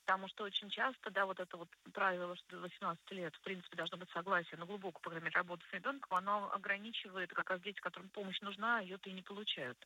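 Bright-voiced woman explaining as a radio expert. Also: medium pitch, fairly even intonation, very fast, hardly any pauses, no room sound, low-quality recording. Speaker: guest psychologist on a radio show. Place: radio studio.

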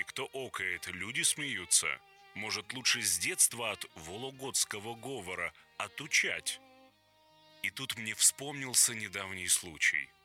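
A man reading a story aloud in a calm, storytelling manner. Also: sits low. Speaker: audiobook reader. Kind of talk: scripted story narration.

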